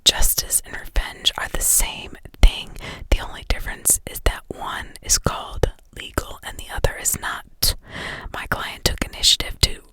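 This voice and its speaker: A woman's voice: normal speed, sharp tone, no echo, close to an everyday voice. Whispered, pressing defense lawyer read by a woman. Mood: persuasive. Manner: pressing, rhetorical questioning. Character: male defense lawyer, pointed and theatrical.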